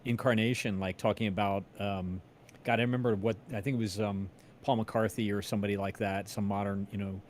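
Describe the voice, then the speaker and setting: A man's voice: fairly low pitch, flat delivery, brisk, darkish tone, slight background noise. A man interviewing in a casual chat, rambling a little and searching for his words.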